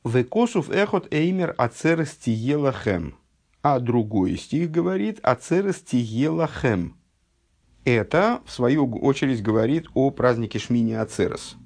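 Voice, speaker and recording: A man teaching a text study lesson, reading source passages slowly and then explaining them, just recovered from an illness. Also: mid-range voice, big pitch swings, creaky, darkish tone, husky. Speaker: religious teacher.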